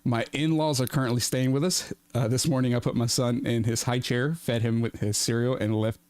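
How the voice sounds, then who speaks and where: A man's voice: mid-range voice, steady tone, fairly quick, darkish tone, no echo. Giggly man reading a story aloud. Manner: laughs often while reading. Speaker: podcast co-host reading a Reddit post. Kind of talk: casual podcast chat and reading aloud.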